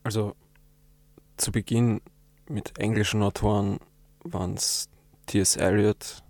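A man's voice: lowish voice, flat delivery, slow, a little mellow, no room sound. A young man who is a poet, answering interview questions in a thoughtful, hesitant way with restarts. His first language is German.

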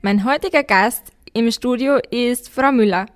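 A woman's voice: a little high, a little slow. A young woman hosting a school radio interview, politely reading out her questions. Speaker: student radio host.

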